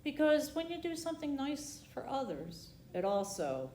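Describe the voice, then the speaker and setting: A woman narrates a children's picture book in a theatrical storytime style. Her fairly high voice rises and falls widely, leaning on the playful words. The sound is roomy and echoing. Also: sing-song, normal speed, dark tone, slight background noise. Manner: theatrical, emphasizing alliterative words. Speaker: library storytime reader.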